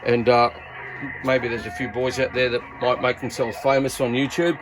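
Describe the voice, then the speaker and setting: A man's voice: mid-range voice, level tone, moderate pace, low-quality recording. A man with an Australian accent gives a casual, hands-on radio gear review as a hobbyist, talking in a laid-back, colloquial, blokey way.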